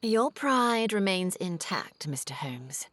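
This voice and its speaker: An actress playing a clever, poised lady adversary in an audio drama, composed and formal, with a hushed, breathy voice. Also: medium pitch, big pitch swings, slow pace, slight background noise. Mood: composed, knowing.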